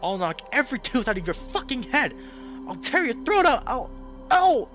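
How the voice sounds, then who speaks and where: A man voicing a young male bear, panicked, furious and protective, with a voice that cracks when he shouts, for a visual novel. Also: very high-pitched, sing-song, moderate pace, highs cut off, soft background music, voice pushed up, exaggerated voice. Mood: screaming fury.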